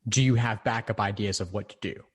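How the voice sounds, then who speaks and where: A man's voice: lowish voice, steady tone, brisk, breathy edge. A man coaching a student over a video call, speaking in a reassuring, encouraging way. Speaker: LSAT tutor and podcast host.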